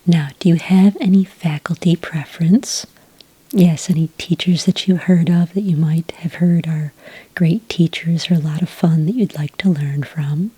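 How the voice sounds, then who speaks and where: A woman playing a friendly, patient witch enrollment clerk in an ASMR roleplay, speaking in a gentle, breathy whisper with an unhurried, helpful manner. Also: fairly low pitch, steady tone, no echo, poor audio. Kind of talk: soft-spoken ASMR roleplay.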